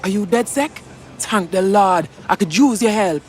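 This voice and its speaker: Casting: woman plays trapped, desperate debtor, pleading, mid-pitched, faint outdoor city noise, game cutscene. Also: animated, normal speed, natural voice. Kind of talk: video game cutscene acting.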